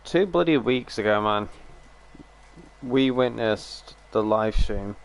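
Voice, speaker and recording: A man's voice: on the low side, lively intonation, slow pace, creaky, rough recording. A young man chatting casually on a live stream, rambling and grumbling, his voice a little strained from a recovering sore throat. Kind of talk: casual live stream chat while gaming.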